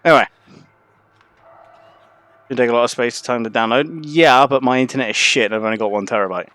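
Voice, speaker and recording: A man's voice: mid-range voice, a little fast, a bit bright, no room sound. A man streaming a video game, chatting casually and swearing freely. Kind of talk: casual gaming commentary.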